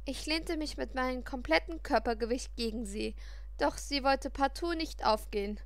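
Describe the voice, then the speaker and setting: A woman reading a first-person story aloud in an expressive, dramatic way. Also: sits high, normal speed, no room sound. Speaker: audiobook reader.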